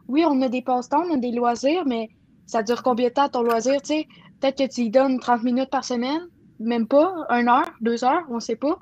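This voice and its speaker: A woman's voice: fairly high pitch, darkish tone. A young woman with a Québécois French accent, speaking emphatically in a casual group discussion, repeating phrases as she goes. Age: young adult. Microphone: computer or headset mic on video call. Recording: dull, muffled video-call audio.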